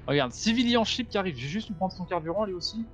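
A man's voice: high voice, expressive, fast, soft background music. A man chatting casually while playing a co-op game, explaining the mission and guiding his partner. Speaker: gamer, co-host of a gaming channel. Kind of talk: casual co-op gameplay chat.

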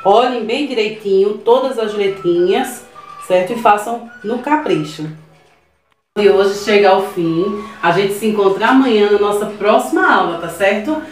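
A woman's voice: mid-range voice, a little slow. A woman in her thirties, a preschool teacher with a Brazilian Portuguese accent, talking a class of young children through worksheets patiently and often checking in with them. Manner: patient, repeats "tá certo?" to check in. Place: small classroom with whiteboard, indoors. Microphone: camera across the room. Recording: roomy sound from a distant camera, soft music.

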